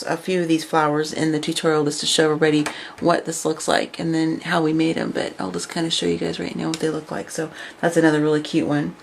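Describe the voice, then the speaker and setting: A woman casually showing her craft projects and chatting about them, her voice raspy from overuse and her talk full of fillers. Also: lowish voice, a little hiss or hum. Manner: chatty, filler-heavy, enthusiastic. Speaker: craft vlogger. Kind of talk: casual show-and-tell.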